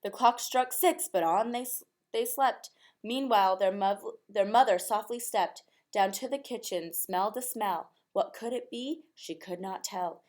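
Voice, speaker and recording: A woman reading a rhyming picture book aloud expressively, in a measured sing-song rhythm. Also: mid-range voice, darkish tone.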